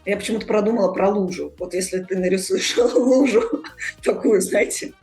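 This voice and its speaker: A woman's voice: medium pitch, normal speed, warm tone, faint music behind. A woman hosting a conversational podcast, explaining things and addressing her listeners directly. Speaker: podcast host.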